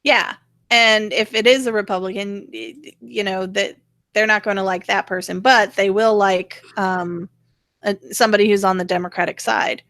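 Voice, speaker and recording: A woman's voice: mid-range voice, steady tone, normal speed, a bit bright, no room sound, studio quality. A woman giving casual political commentary, explaining her opinions in a wry, conversational way. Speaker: co-host of political rant show.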